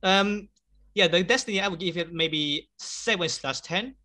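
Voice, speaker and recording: A man whose first language is Chinese, chatting casually as an interview guest, laughing often and filling pauses with "like" and "um.". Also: high voice, bright, forceful tone.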